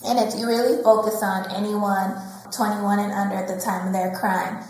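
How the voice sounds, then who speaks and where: A woman's voice: mid-range voice, little pitch movement, moderate pace. A woman giving explanatory testimony as a peer organizer, in a roomy recording. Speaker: incarcerated speaker, peer organizer. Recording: echoey, faintly noisy room recording.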